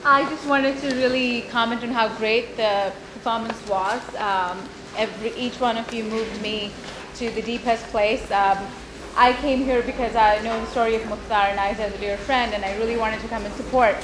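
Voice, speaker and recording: A woman in the audience pours out gushing, heartfelt praise for the cast. Her slightly high voice rings in an echoey hall over steady room noise. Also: normal speed. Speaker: audience member. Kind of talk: praising the performers.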